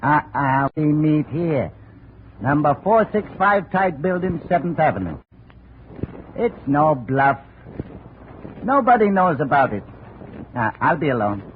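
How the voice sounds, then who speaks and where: A shady, blunt fixer from a 1950 radio play. His high voice swings widely as he bargains in clipped, streetwise phrases. The old recording is thin and hissy. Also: very animated, measured pace, pauses often, phone-quality sound. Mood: blunt, pressuring. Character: shady licensed private operator, wheedling and blunt on the phone. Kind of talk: 1950s radio drama acting.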